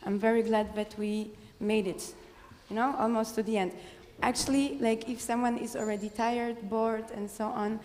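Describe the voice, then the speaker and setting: A woman from the audience, speaking English as a philosopher at a conference, direct and insistent, often pausing with fillers. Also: a little high, fairly even intonation, darkish tone, in a large hall, a little hiss or hum. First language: Polish. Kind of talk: conference floor remarks. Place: conference hall.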